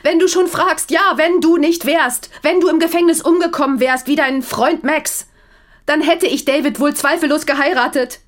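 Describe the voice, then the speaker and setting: An actress playing a weary, defiant wife, frank and reproachful, in a German radio crime drama. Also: female voice, high voice, steady tone, moderate pace, close to an everyday voice. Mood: exasperated, defiant.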